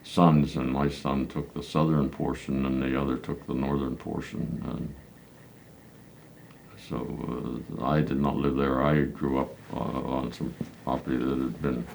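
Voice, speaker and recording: A man in his nineties from Pennsylvania, recalling his church childhood in slow, halting answers full of hesitant fillers. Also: rumbling low, little pitch movement, creaky, darkish tone, a little hiss or hum. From Pennsylvania, United States. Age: 90s. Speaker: elder congregant interviewee. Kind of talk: reminiscing interview answers.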